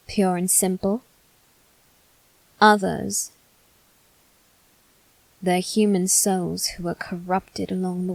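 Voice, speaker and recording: A woman performs a haughty young nobleman, still a boy, bitter and guarded. He speaks very slowly in formal, condescending phrases. The sound is dry and intimate, made for an ASMR character roleplay. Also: medium pitch, a little mellow, no room sound, poor audio.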